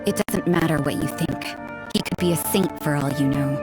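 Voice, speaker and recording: A woman's voice: sits low, moderate pace, lightly acted. An actress playing a cool, cynical woman who patrols for threats, speaking in a dry, dismissive and suspicious way. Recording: backing music.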